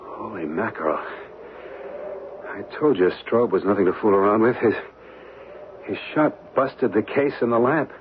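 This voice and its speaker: Plucky, casual everyman played by a man, wisecracking, lowish pitch, old radio drama with faint noise. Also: moderate pace, pauses often, close to an everyday voice. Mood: shaken, wry. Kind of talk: 1970s radio drama acting. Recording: muffled, noisy old radio broadcast.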